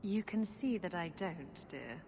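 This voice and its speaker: A woman's voice: on the low side, animated, unhurried, darkish tone, a little hiss or hum. A woman playing a worldly, amused female pirate in a video game, teasing playfully with affectionate endearments. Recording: long hall reverb, music behind.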